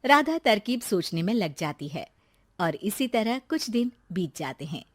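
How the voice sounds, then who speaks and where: Lively woman narrating a cartoon. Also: medium pitch, a little slow, dry recording. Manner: lively storytelling. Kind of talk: scripted storybook narration.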